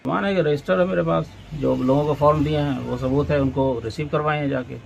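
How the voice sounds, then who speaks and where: A man's voice: a little high, steady tone, brisk, creaky, a little mellow, muffled recording. A man with a Bihari accent answering as a local election worker in a news interview. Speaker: booth-level election worker. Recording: faint ambient noise, field interview.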